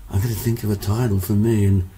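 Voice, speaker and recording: Older man with a flat, dark voice. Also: on the low side, fast talker, slight background noise, rough recording. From Hungary. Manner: rambling, lots of fillers and restarts. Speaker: musician guest. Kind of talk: casual interview, storytelling.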